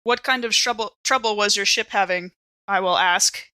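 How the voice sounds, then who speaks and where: A woman playing a shrewd, sceptical spaceship officer in a tabletop game, measured, direct and probing. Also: medium pitch, sharp tone, lightly acted. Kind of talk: tabletop role-play acting.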